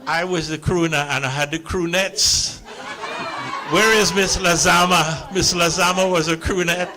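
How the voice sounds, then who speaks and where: A man's voice: high-pitched, steady tone, unhurried, background noise. A middle-aged man with a Caribbean English accent, a calypsonian telling a chuckling story to a live audience on stage.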